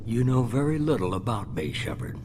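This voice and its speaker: A man's mid-pitched voice, an actor as a cold, secretive mastermind in a sci-fi game. He is formal, measured and condescending. There is clear ambient noise behind him. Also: very animated, close to an everyday voice. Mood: cold, controlled. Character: cold, controlled, secretive leader of a shadowy organisation. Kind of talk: video game dramatic acting.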